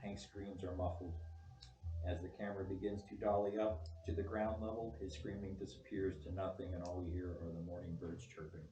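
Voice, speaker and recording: Very low-voiced man reading screen directions. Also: steady tone, a little fast, mellow. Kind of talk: scripted narration of screen directions. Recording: distant mic, echoey room, faint noise.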